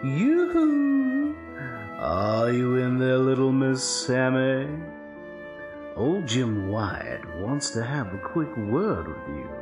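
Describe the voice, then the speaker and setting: An actor playing a sinister, mock-courteous undead villain with sickly-sweet, drawling menace in an audio drama. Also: male voice, mid-range voice, big pitch swings, echoey room, dull audio, over music. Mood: falsely cheerful. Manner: mock-courteous, slow, syrupy.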